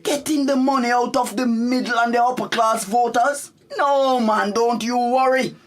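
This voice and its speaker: A man's voice: very high voice, steady tone, moderate pace. A man with a Jamaican accent playing a cocky, dismissive government money minister in a radio drama, blustering and cajoling. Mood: breezy, confident.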